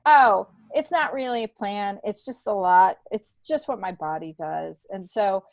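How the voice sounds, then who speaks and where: A woman's voice: medium pitch, measured pace, no echo. A middle-aged woman talking candidly and casually as an interview guest, stressing points by repeating herself. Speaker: podcast guest, stand-up comedian. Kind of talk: casual conversational interview, personal anecdotes.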